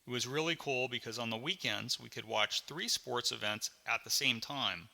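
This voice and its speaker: A man reading his own memoir as a scripted audiobook, with dramatic, deliberate storytelling. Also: medium pitch, vocal fry, sharp tone, in a large hall.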